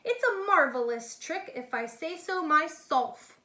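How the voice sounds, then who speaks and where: A woman's voice: sits high, lively intonation, unhurried, sharp tone, heavily acted. A woman voicing a boastful, eager young boy who plays circus showman in a rhyming storybook, with grandiose singsong delivery. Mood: excited, showy. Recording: echoey, reverberant room sound.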